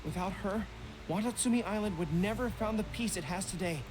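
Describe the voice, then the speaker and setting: A man's voice: high voice, a little fast, noisy background, close to an everyday voice. A man voicing an earnest, formal young general in a video game, apologetic and protective.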